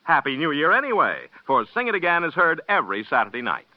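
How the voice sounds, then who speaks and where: A man's voice: on the high side, very animated, fast talker, background noise. An American man announcing a promotion in the style of mid-century radio. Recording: muffled old radio broadcast.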